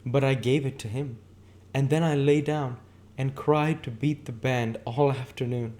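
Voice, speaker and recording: A man's voice: mid-range voice, steady tone, measured pace, darkish tone, voice pushed up, natural voice. A man voicing a brash, gushing, affected married woman in a dramatic, gossipy way, reading from a novel. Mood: indignant. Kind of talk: character voice in an audiobook reading.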